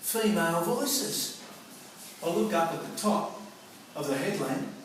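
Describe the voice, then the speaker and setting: A middle-aged man with an Australian accent tells a personal story in a colloquial, theatrical style. His voice sits fairly high for a man. It is heard at some distance from the microphone in a very echoey room. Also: lively intonation, unhurried. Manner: colloquial, theatrical, acts out remembered dialogue. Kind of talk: animated personal anecdote storytelling. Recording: roomy, echoing, noisy distant microphone.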